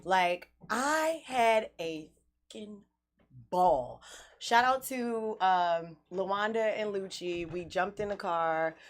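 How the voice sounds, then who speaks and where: A middle-aged woman co-hosting a podcast, telling stories in an animated way and swearing freely. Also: medium pitch, slow. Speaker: podcast co-host. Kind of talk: casual chat.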